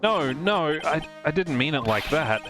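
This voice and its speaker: A man's voice: a little high, lively intonation, a bit bright. Man playing an earnest young lawyer, music behind. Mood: flustered. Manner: deliberate accusations, flustered hesitant asides. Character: earnest young male lawyer cracking a witness. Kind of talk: live fan dub of a visual novel game.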